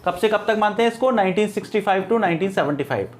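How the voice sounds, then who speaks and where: A man's voice: sits high, animated, rapid-fire. A man with an Indian accent teaching an exam-preparation lesson in a didactic way, repeating key points and often checking in with short tags. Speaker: English literature lecturer. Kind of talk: exam-preparation lesson mixing explanation and read-out questions.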